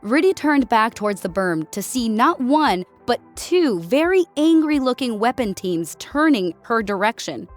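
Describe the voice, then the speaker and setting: Higher-pitched woman narrating over music. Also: sing-song, unhurried, few pauses. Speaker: audiobook narrator. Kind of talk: scripted narration of a military sci-fi story.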